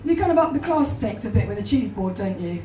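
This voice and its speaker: A woman in her twenties with an English accent and a hoarse, croaky natural voice, doing a self-deprecating stand-up set with a deadpan delivery. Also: medium pitch, fast, slight background noise. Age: 20s. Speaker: stand-up comedian. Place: small comedy club stage, live audience. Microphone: handheld stage mic, filmed from far back in the crowd. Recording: echoey club recorded from far off. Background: audience laughter.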